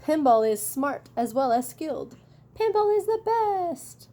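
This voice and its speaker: A woman's voice: high voice, big pitch swings, a little slow, dark tone, pitched above the performer's own voice, exaggerated voice. A woman voicing enthusiastic storybook fans chanting for their favorite monster trucks. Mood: cheering.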